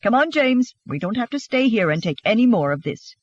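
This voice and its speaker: A narrator voicing a fiery, sharp-tongued young wife in audiobook dialogue, wounded and defiant, spitting out taunts. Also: medium pitch, lively intonation, brisk, no echo, dull audio, lightly acted. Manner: spitting, taunting, shouting back.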